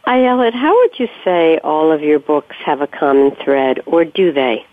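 A woman radio host with a somewhat low voice asks questions and answers softly and warmly in agreement. The sound is dry and thin, like a phone line. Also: expressive, moderate pace, no echo. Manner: soft-spoken, affirming, lots of murmured agreement. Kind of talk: interview.

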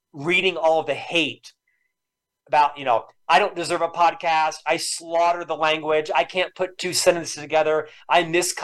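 This is a man in his fifties who has stuttered all his life. As a podcast guest he speaks in an earnest, reflective way, and his voice sits a little high for a man. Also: fairly bright tone. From Florida, US. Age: 50s. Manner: lifelong stutterer, earnest and emotional. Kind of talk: reflective storytelling interview.

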